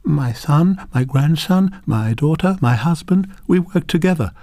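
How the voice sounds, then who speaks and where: A man's voice: animated, unhurried, warm tone, no echo. A shy elderly grandmother speaks in a quavering, lifted voice, as a male narrator puts her on. She lists her garden and tools one by one, earnest and plain. The sound is dry and close. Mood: shy, proud. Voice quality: quavering, higher voice. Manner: earnest, listing things one by one.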